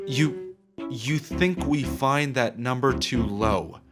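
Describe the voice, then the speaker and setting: A man's voice: medium pitch, slow pace, lightly acted. Man as skeptical, baffled cartoon hero over music. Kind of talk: animated comedy acting. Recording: music behind voice.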